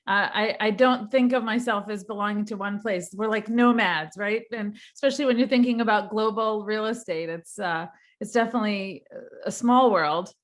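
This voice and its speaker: A woman's voice: mid-range voice, normal speed. Friendly woman podcast host. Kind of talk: interview hosting.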